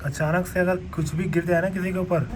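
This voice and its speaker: A young man with a sore throat, chatting excitedly on a home vlog while filming a storm from his apartment on a handheld camera. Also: high voice, brisk, a little hiss or hum. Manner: excitable, playful, hums old film songs. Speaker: vlogger, husband of the host. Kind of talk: casual home vlog chat. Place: apartment at a window during a storm.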